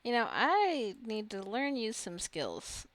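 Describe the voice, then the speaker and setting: A woman's voice: fairly high pitch, huge pitch swings, takes it slowly, no room sound. A woman giving casual, rambling gameplay commentary as a gaming video host, chatting and thinking aloud to herself and her viewers.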